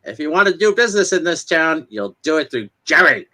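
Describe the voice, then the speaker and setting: A man's voice: on the high side, very animated, a little fast, sharp tone, full character voice. A man acting a friendly, gossipy small-town neighbor in a dramatic comic reading, folksy and exclamatory. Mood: folksy, opinionated.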